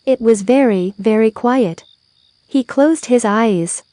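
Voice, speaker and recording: A woman reading a children's bedtime story aloud in a measured storytelling manner. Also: fairly high pitch, warm tone. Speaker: storybook reader.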